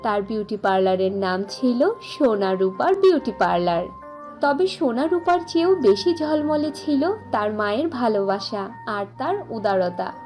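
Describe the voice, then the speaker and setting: A woman's voice: fairly high pitch, lively intonation, unhurried, darkish tone, faint music behind. A woman reading scripted fairy-tale narration as the storyteller.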